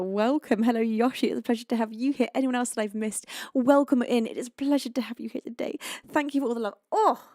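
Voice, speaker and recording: A British woman, a singer-songwriter, chatting softly with her live stream audience between songs, with piano under her voice. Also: mid-range voice, no room sound, studio quality. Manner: soft, chatty between songs, sings gently.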